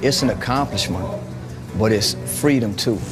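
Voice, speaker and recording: A man's voice: lowish voice, moderate pace, over loud music. A man, recalling his life in a documentary interview. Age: 40s. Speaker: prison inmate, rodeo rider. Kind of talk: documentary interview, personal recollection.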